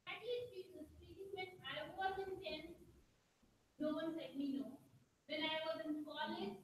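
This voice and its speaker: A woman from India in the audience answers from the floor in a high voice, pausing as she looks for words. She sounds far from the mic, in a very echoey room with a faint hiss behind her. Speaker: audience member.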